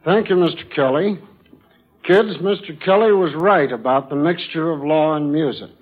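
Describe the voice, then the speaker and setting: A man's voice: a little high, moderate pace, narrow, tinny audio. An American man speaking formally and courteously as a guest quizmaster, in prepared talk on a 1940s radio broadcast. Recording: thin band-limited 1940s radio broadcast.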